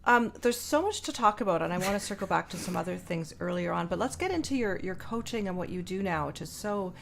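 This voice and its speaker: A woman's voice: lowish voice, animated, fast talker, vocal fry, noisy background. A woman hosting a musician interview, asking long thoughtful questions with many small fillers. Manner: thoughtful, rambling questions with many "you know"s. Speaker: podcast host, violinist.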